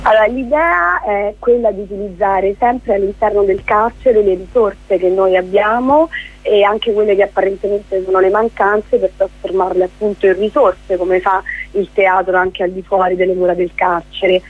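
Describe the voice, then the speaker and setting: A woman's voice: mid-range voice, fairly even intonation, normal speed, phone-quality sound. A woman speaking as a guest theatre director in a conversational radio interview, explaining things discursively.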